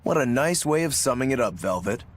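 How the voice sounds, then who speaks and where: A man's voice: medium pitch, expressive, brisk, a little hiss or hum, natural voice. A man voicing a knowing, teasing adult trickster in an English anime game dub, with a theatrical delivery.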